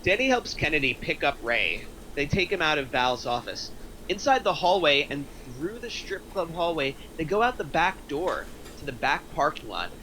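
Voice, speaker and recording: A man's voice: on the high side, big pitch swings, normal speed, bright, forceful tone, a little hiss or hum, poor audio. A man narrating the stage directions of a script at a table read.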